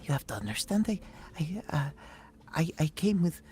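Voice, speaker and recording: A woman voicing a frightened young draftee, pleading haltingly in a breathy voice and repeating words. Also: low voice, sing-song, a little mellow. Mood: frightened, hushed.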